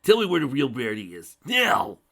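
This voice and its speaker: A man voicing a furious young male dragon who shouts and screeches threats at a villain. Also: fairly low pitch, animated, normal speed. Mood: demanding.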